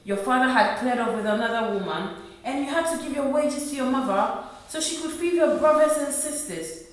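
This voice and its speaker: A woman's voice: high voice, expressive, fairly quick. A woman playing a worried, poor wife and mother in a radio play, earnest and reproachful. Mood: tender, pained. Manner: earnest, reproachful, repeating her husband's name. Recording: very echoey room.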